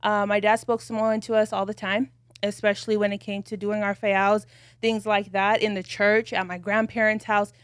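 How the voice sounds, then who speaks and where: Woman podcast co-host, dry close sound, reflective measured storyteller, mid-pitched. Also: level tone, smooth, no echo. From United States. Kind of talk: casual podcast chat.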